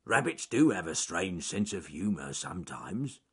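A man voicing a big gentle male bear who talks slowly and deliberately with a growl. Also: low voice, big pitch swings, breathy edge, heavily acted. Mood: ponderous.

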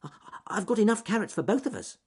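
A little fluffy rabbit from a storybook. The voice is pushed up high and swoops about excitably. He is timid and kind-hearted, fretting and repeating himself. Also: quick pace, husky, exaggerated voice. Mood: eager.

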